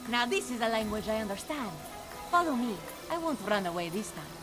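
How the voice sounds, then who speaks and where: A streetwise rogue in a video game, flirtatious and defiant. Her fairly high voice is very animated, over loud music. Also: fairly quick. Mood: teasing, flirtatious.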